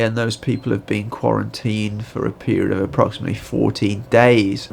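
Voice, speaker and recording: Uk guy doing his daily update vid, croaky and blocked up, lots of ums while he checks notes. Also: on the low side, a little hiss or hum. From United Kingdom. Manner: hesitant, many fillers and restarts, reading from notes. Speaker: channel host giving daily news update. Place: home in southern China.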